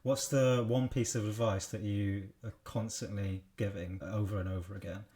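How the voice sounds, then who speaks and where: A man's voice: fairly low pitch, steady tone, normal speed, dark tone. A man hosting a casual interview, asking long, rambling questions in a friendly way. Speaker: host.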